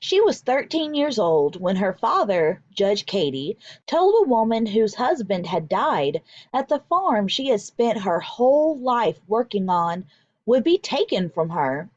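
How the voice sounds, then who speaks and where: A woman teacher reading aloud to children in an expressive, deliberate, emphatic way. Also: medium pitch, no room sound, highs cut off. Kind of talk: expressive children's read-aloud with vocabulary explanations.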